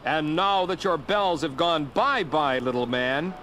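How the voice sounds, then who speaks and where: A man playing a young, wisecracking superhero in an audio drama, earnest and animated. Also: on the high side, unhurried, slight background noise. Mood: plucky, quipping. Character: young wisecracking male superhero and news photographer.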